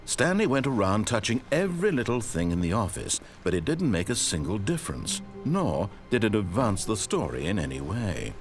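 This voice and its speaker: An actor playing a dry, ironic male narrator of a video game, telling the story in a measured, scripted way. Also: lowish voice, big pitch swings. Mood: wry. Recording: game audio with faint music.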